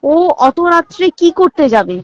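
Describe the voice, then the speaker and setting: A woman's voice: high voice, big pitch swings, moderate pace, no echo. An Indian Bengali woman recounting things emphatically in a private phone call, repeating points and checking that the listener follows. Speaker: hospital staff member. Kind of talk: private phone conversation, recounting insider details. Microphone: phone call recording. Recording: dull lo-fi recorded phone call.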